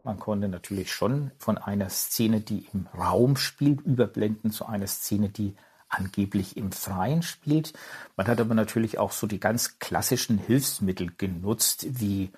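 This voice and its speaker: A man's voice: fairly low pitch, unhurried, a little mellow, slightly rough. A man, a media historian, explaining in an interview, fluent and explanatory.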